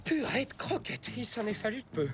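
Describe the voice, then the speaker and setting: A woman voicing a quick-witted, exasperated fox who leads an animal gang in a French cartoon, scolding and urgent. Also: on the high side, very animated, over music.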